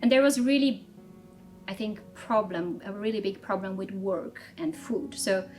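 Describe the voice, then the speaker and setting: A woman in her thirties from Armenia, telling her life story in a measured, reflective way in an interview, with frequent fillers and restarts. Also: medium pitch, darkish tone. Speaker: interviewee, strategy director. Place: office.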